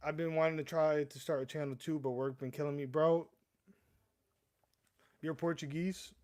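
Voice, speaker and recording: A young man hosts a casual live stream, talking loosely to his viewers in a slightly high voice. He rambles, stammers, and restarts his sentences often, calling everyone "bro.". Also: steady tone, normal speed, darkish tone. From US East Coast. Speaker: livestream host.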